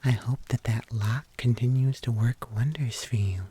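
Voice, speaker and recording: An ASMR performer playing a kindly, patient locksmith, murmuring gently and unhurriedly to the listener, close to the microphone. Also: mid-range voice, fairly even intonation, normal speed, husky. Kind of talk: whispered ASMR roleplay.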